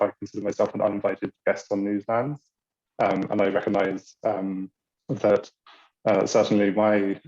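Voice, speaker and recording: A British man giving an online orientation talk for a university's international office, pausing often with ums and uhs. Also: lowish voice, a little mellow, muffled recording. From Britain. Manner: hesitant, many ums and uhs. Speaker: university international programs staff. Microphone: video call.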